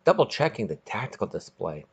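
A man's voice: on the low side, very animated, fairly quick, vocal fry, husky, muffled recording. A man hosting and narrating a scripted short story podcast in a dramatic, emphatic way.

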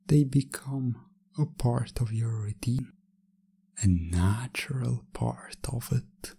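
Very slow, breathy male hypnosis voice. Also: lowish voice, dark tone. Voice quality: breathy, often dropping to a whisper. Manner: slow, repetitive, commanding, hypnotic. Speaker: hypnosis narrator. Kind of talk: hypnosis ASMR, scripted narration.

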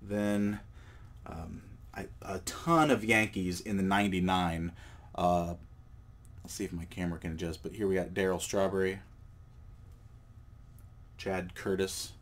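A man with a cold hosting a card collecting channel, talking in a relaxed, rambling way with fillers and self-corrections while he shows cards at a table indoors. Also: low-pitched, a little slow, pauses often. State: has a cold. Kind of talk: casual show-and-tell vlog.

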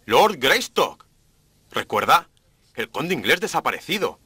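A man's voice: on the high side, very animated, measured pace, sharp tone, breathy edge, dry recording, full character voice. An actor playing an earnest, easily alarmed male jungle explorer in a cartoon, formal and urgent in manner. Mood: matter-of-fact. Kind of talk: animated cartoon dub acting.

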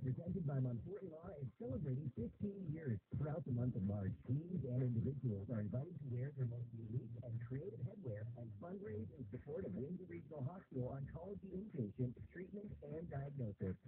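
A man's voice: medium pitch, narrow, tinny audio, noisy background. A man reading scripted radio promos as an announcer, captured by phone from a car radio. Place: radio broadcast played inside a car, recorded on a phone.

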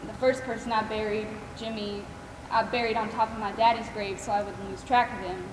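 A woman's voice: mid-range voice, little pitch movement, fairly quick, close to an everyday voice. A woman acting a kind, devout Southern caregiver in a school stage play, telling stories in a folksy, tender way. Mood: warm, reflective. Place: large theater stage, recorded by far camera. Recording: echoey theater stage from far off, noisy.

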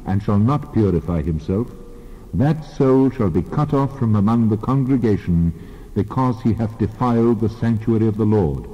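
Male scripture reader, low voice, measured and solemn, long reverb on a dull lo-fi recording. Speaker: Bible reader. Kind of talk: dramatized scripture reading.

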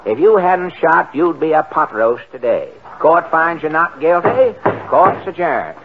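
A man's voice: fairly high pitch, big pitch swings, measured pace, narrow, tinny audio, heavy background noise. A man playing a folksy, dry backwoods judge in an old radio comedy. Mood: dry, probing. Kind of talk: 1940s radio comedy acting. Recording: band-limited 1940 radio broadcast.

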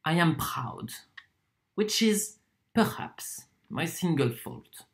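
An actor performs a proud itinerant torturer in a stage monologue. The delivery is unhurried and deliberate, full of weighted pauses. The mid-pitched voice swings widely up and down in a theatrical way. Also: sing-song, gravelly, heavily acted. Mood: proud, wounded. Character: proud wandering torturer from Poland, wheedling then contemptuous.

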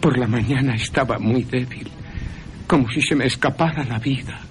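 A man's voice: mid-range voice, normal speed, pitched above the performer's own voice, natural voice. A man voicing a frightened young woman who recounts a nightmare in an anguished, dramatic way. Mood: nervous, weak.

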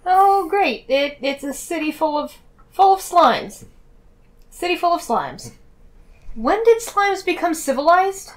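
A woman's voice: extremely high pitch, big pitch swings, takes it slowly. A woman playing a tabletop game in casual, joking chat.

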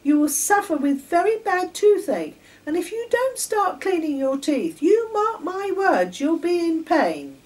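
Woman putting on a bossy high-pitched young girl. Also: very animated, a little slow, hardly any pauses, darkish tone, full character voice. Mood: insistent warning. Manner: scolding, emphatic, lecturing. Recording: distant mic, noticeable background noise.

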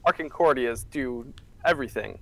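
A young man in his twenties, a church media worker, answering interview questions in a relaxed, chatty way with many fillers, heard over a webcam from a small office. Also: mid-range voice, normal speed, rough recording. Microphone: webcam on a video call.

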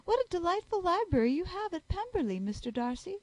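A woman reading aloud, voicing a snobbish, flattering young society lady in a gushing manner. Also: very high voice, fairly quick, no room sound, voice pushed up. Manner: gushing, fawning. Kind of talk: audiobook character voice.

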